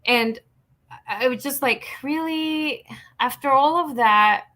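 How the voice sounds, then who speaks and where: Romanian-native woman chatting on a video call, bright lively voice, laughs a lot. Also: high voice, slow pace. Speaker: guest. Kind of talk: casual chat.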